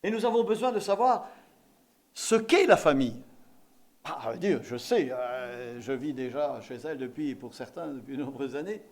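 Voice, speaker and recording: A man's voice: medium pitch, animated, moderate pace, a little mellow, a little hiss or hum. A man from eastern France preaching a sermon as a visiting pastor, exhorting and repeating key phrases for emphasis.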